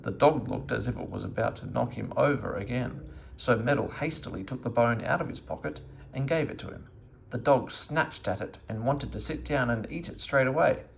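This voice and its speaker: A man reading a children's story aloud as an expressive narrator. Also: mid-range voice, moderate pace, gravelly, a little hiss or hum, poor audio. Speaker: storybook reader.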